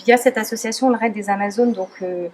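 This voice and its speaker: A woman's voice: mid-range voice, fairly even intonation, a little fast, darkish tone, a little hiss or hum. A woman presenting her team's charity race project, a little unprepared and dotting her talk with "voilà". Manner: a bit unprepared, says "voilà" often.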